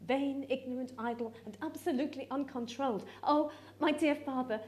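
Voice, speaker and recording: An earnest young gentlewoman, acted by a woman reader, pleading with her father. She is indignant and urgent, stressing her words with articulate care, as in a period storybook read aloud. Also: high voice, pitched above the performer's own voice, natural voice.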